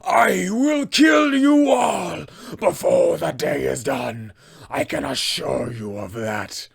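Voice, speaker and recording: An insane old dwarf king from a tabletop fantasy game. The voice is pushed high and shouted, with slow, drawn-out words. He is a theatrical, vengeful villain. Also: animated, creaky, slightly rough, heavily acted. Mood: vengeful threat.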